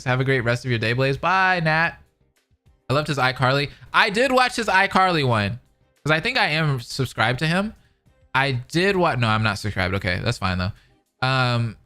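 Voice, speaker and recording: American guy streamer just chatting w/ viewers, bright voice, slangy, faint music behind. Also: medium pitch. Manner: slangy, laid-back, conversational. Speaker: streamer, reaction commentator. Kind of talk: casual livestream chat with viewers, reading out comments.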